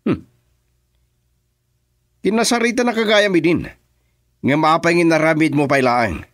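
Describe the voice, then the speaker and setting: A man with an Ilocano accent playing a shrewd businessman boss in a radio drama, with a drawn-out, swooping delivery. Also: a little high, lively intonation, a bit bright, dry recording, studio quality. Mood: brooding, scheming.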